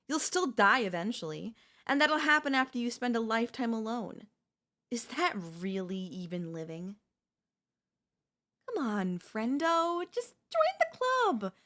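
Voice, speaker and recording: A woman's voice: on the high side, sharp tone, full character voice. A woman playing a chatty, cheeky female zombie in a comedic audio roleplay, breezy and falsely friendly. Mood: coaxing, chummy. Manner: breezy coaxing, falsely friendly, awkward backpedalling.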